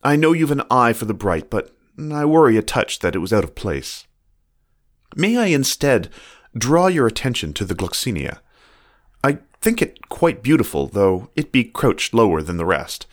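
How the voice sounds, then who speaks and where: A man reading the old-fashioned love letters of a genteel, earnest fox in a measured, formal way. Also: medium pitch, big pitch swings, close to an everyday voice. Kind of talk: reading letters aloud from a story.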